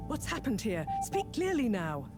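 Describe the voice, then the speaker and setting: Brief: commanding video game scientist, lively higher voice, music behind. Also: female voice, normal speed. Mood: urgent. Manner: crisp, authoritative, lively intonation. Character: brisk, driven woman professor, commanding.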